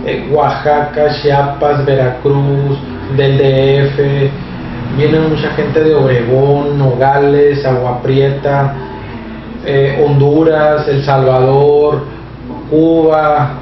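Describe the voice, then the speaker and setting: A man explains his work in a hesitant, halting way, full of fillers and restarts. His delivery stays flat and even. He sounds far from the camera mic in a small echoey office, with dull audio and steady background noise. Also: mid-range voice. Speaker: shelter administrator. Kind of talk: casual interview explanation. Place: small office with a computer, indoors.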